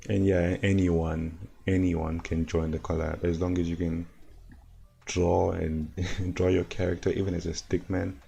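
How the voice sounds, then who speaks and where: A man streaming from home, hoarse and worn out from no sleep, chatting loosely and chuckling as he reacts to animations. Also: deep voice, little pitch movement, measured pace, mellow, slight background noise. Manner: laid-back, rambling, chuckles often. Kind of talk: casual livestream chat and reactions.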